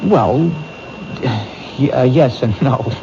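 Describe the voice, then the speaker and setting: Protective detective, friendly, bit of a stammer, old radio drama. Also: male voice, mid-range voice, extremely slow. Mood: warm, earnest. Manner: friendly, stammers when nervous. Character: earnest male police detective, protective. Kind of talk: 1970s radio drama acting. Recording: dull old radio broadcast with hiss.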